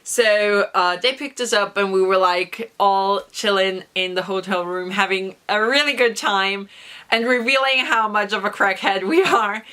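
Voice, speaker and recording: A young woman vlogger with a hoarse voice from a recent cough, chatting through an excited story with many fillers. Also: medium pitch, a little slow. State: hoarse, recovering from lost voice. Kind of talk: casual storytelling vlog.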